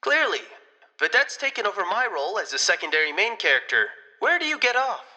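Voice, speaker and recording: A man's voice: sits high, big pitch swings, fairly quick, sharp tone, exaggerated voice. A man playing a whiny, indignant, melodramatic member of a biker crew in a comedic audio drama. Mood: wounded, indignant.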